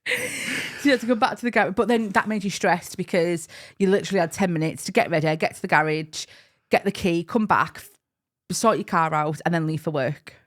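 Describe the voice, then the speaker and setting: Young scottish woman, lowish voice, chatty, giggly, teasing, podcast co-host. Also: brisk. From Scotland. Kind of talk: casual couple's podcast chat.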